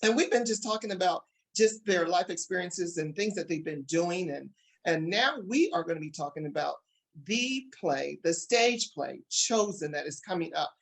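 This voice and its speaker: A man's voice: sits high, expressive, normal speed, vocal fry, fairly bright tone. A man hosting a talk show, interviewing guests and addressing viewers with showman phrases and slogans.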